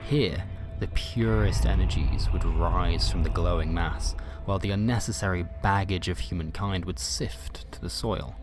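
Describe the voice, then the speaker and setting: A man narrating a scripted fantasy story in a measured, dramatic way with wry asides. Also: low-pitched, music in the background. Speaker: storyteller, narrator of a fiction podcast.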